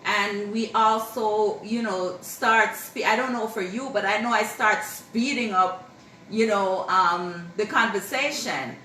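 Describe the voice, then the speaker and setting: A woman's voice: mid-range voice, slow pace, sharp tone. A woman co-hosting a casual chat about marriage, speaking conversationally and emphatically, repeating her points. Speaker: co-host, married partner. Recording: roomy echo, distant mic, faint noise.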